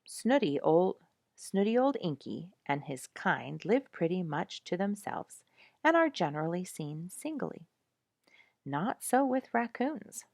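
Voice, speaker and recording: Low-voiced, animated woman storyteller. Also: slow pace, dry recording, clean studio sound. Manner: animated, showing pictures to listeners. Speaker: storybook reader. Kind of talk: expressive read-aloud storytelling of an animal book.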